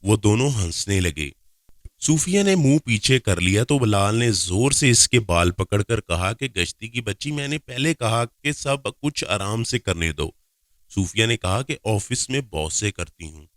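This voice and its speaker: A man's voice: lowish voice, big pitch swings, unhurried, a bit bright, dry recording, clean studio sound. A man reading a scripted first-person story aloud as a narrator.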